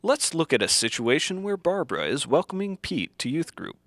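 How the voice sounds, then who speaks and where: A man reading scripted instructional narration in the manner of an old educational film, deliberate and didactic, in simple phrases. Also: a little high, big pitch swings, fairly bright tone, no echo.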